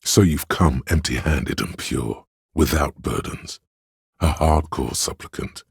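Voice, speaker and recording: The pretentious artistic voice inside a detective's head for a video game. It is an extremely low, gravelly bass that moves slowly and deliberately. Every phrase is delivered as theatrical narration, with the gravity of a discerning critic. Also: male voice, dry recording, clean studio sound, heavily acted. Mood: solemn, lofty. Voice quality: very deep gravelly husky bass. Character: artistic inner voice of a detective's mind, lofty and critical.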